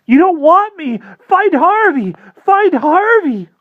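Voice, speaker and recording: A man voicing a terrified chubby boy who sobs and begs in shrill, wailing pleas, recorded dull and dry. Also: sing-song, slow pace, dry recording, full character voice. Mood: sobbing. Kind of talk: audiobook character voice.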